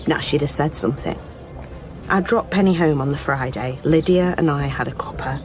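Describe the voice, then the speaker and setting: Actress as bereft, loyal woman friend for radio drama. Earnest, faltering, slightly low register, music underneath. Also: natural voice. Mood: insistent. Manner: halting, earnest, pleading. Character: anxious, grieving woman, missing woman's loyal best friend. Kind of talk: naturalistic radio drama acting.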